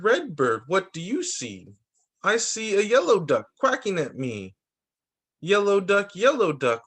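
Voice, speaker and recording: A man with a slightly high voice reads storytime books for young children. He goes very slowly and deliberately, with a lively, swooping melody. His phrasing is sing-song, like a teacher leading small listeners through each line.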